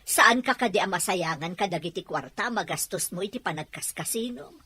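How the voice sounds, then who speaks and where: Woman playing a sharp, husky, scolding elder sister. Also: fairly low pitch, lively intonation, fairly quick, heavily acted. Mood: reproachful. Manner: stern, nagging. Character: reproachful elder sister scolding a spoiled sibling.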